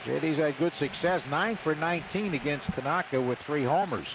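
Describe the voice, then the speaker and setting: A man's voice: normal speed, dull audio, background noise. An older American man doing conversational live radio baseball play-by-play from a stadium booth. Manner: conversational, storytelling between pitches. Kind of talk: live radio sports commentary.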